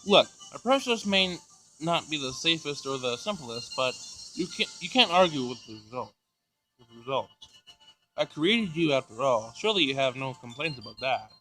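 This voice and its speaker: A man's voice: medium pitch, animated, normal speed, sharp tone, rough recording. A man voicing an exasperated, defensive older male professor in a visual novel, casual and grumbling. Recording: music behind the voice.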